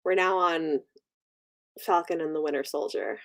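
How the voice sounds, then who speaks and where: A woman's voice: low voice, fairly even intonation, moderate pace, rough recording. Casual, enthusiastic woman. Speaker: book channel livestream host. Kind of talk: casual chat.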